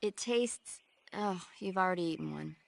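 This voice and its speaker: An actress playing an earnest, knowledgeable support advisor in a video game, explaining things informatively. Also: female voice, lowish voice, moderate pace, gravelly, a little hiss or hum. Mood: informative, cautioning. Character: knowledgeable female radio support advisor, earnest, easily exasperated.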